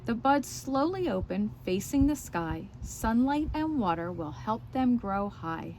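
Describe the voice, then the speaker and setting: A woman's voice: fairly high pitch, big pitch swings, a little slow, darkish tone, a little hiss or hum, poor audio. A woman reading aloud and showing things to young children, explaining as she goes and putting questions to her listeners. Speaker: children's story time teacher and reader. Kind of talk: read-aloud and show-and-tell for young children.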